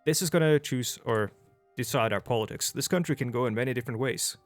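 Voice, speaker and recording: A man's voice: mid-range voice, quick pace, no room sound. A young man from Norway with a Norwegian accent, whose first language is Norwegian, giving casual, chatty gameplay commentary as a let's play host and often stopping to restart his sentences. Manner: chatty and rambling, with frequent restarts. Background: faint background music.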